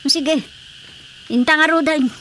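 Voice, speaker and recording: Bright, sharp Ilocano wife. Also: female voice, sits high, steady tone, unhurried, slight background noise. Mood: hurt, firm. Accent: Ilocano Filipino. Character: hurt, stern wife and mother, unforgiving. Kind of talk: Ilocano radio drama comedy acting.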